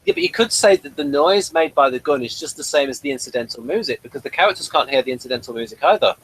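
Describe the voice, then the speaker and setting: Casting: dry, measured male co-host with mid pitch. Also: lively intonation, a bit bright, husky, poor audio. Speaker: podcast co-host. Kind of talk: casual chat.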